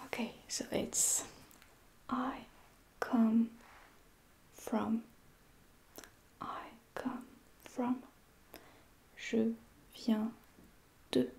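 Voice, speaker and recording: A French woman, a native French speaker with a French accent, softly whispering a patient ASMR roleplay lesson as a French teacher. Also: on the high side, flat delivery, extremely slow, vocal fry, long pauses. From France. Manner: soft whispering, patient repetition of phrases.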